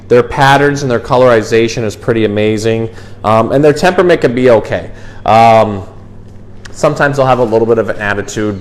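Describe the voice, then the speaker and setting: Man giving an informal animal talk. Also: mid-range voice. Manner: informal, explanatory. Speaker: zookeeper presenter. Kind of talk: casual educational animal talk and storytime.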